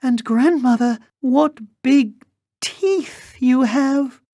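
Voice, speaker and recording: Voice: sits high, drawn-out words, dark tone, voice pushed up. A storyteller voicing an innocent, polite little girl from a fairy tale, with a sing-song, earnest manner. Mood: wondering, alarmed.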